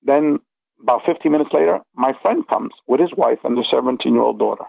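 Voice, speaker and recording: Gravelly man on a phone-like line. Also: mid-range voice, fairly quick, no room sound. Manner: earnest, emphatic, checks in with okay and you know. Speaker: interview guest recounting personal experiences. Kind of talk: casual, rambling first-person storytelling.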